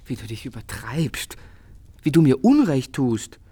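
An actor playing a world-weary, melancholy artist in a literary radio drama, speaking eloquently and earnestly with theatrical phrasing. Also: male voice, medium pitch, sing-song, measured pace, dark tone, husky. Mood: indignant.

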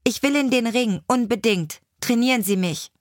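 A woman's voice: on the high side, normal speed, dry recording, studio quality, voice pushed up, natural voice. A woman voicing a fearless, determined seventeen-year-old girl in a storybook, insistent and proud.

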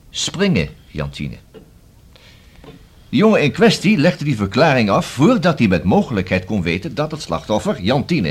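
A male actor in a Dutch radio play as a probing, self-assured police inspector who questions deliberately and dryly, with a husky voice. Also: lowish voice. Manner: deliberate, rhetorical questioning with emphatic repeats.

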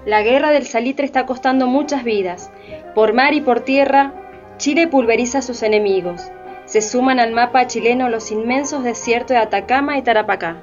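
A woman's voice: on the high side, normal speed, lightly acted. A woman performing as a narrator in a satirical historical radio play, telling it in scripted, declarative lines. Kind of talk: scripted dramatized narration in Spanish. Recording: dull lo-fi radio play, faint music.

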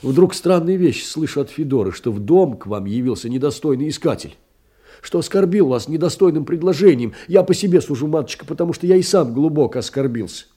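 An actor playing a humble, downtrodden poor man in an audio play, doting and emotional, confessing in halting, self-abasing phrases. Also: mid-range voice, warm tone, slightly rough.

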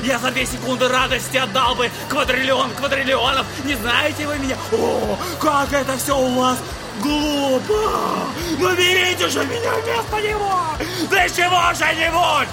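An actor playing a feverish young man raving in delirium, ranting and shouting in a theatrical audio drama. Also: very high voice, fairly even intonation, a little slow, few pauses, low-quality recording. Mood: delirious, desperate. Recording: loud music under the voice.

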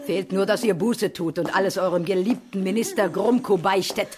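A woman's voice: medium pitch, fairly even intonation, moderate pace, a crowd in the background, lightly acted. An actress playing a haughty middle-aged queen and mother, protesting sharply in a radio play. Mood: reproachful.

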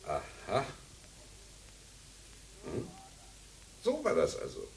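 An actor playing a deliberate, drily ironic literature seminar leader in a radio drama, speaking hesitantly with thoughtful pauses. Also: male voice, medium pitch, wildly swooping pitch, vocal fry, full character voice. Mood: dryly sceptical. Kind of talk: radio drama dialogue, academic discussion.

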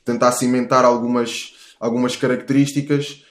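A man's voice: medium pitch, little pitch movement, normal speed, reverberant. A thirty-year-old man with a European Portuguese accent, a musician talking casually and at length in an interview, with many restarts and fillers. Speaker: musician guest.

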